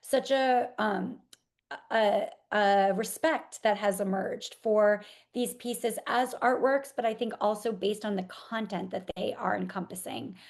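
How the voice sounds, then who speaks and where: A woman artist answering interview questions in a thoughtful, articulate way, explaining things in measured detail. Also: mid-range voice.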